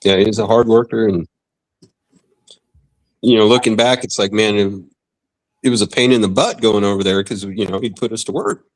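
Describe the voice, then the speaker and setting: Low-pitched male guest, relaxed, laughs through his stories. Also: moderate pace, pauses often, rough recording. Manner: easygoing, laughs while telling stories. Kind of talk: casual chat.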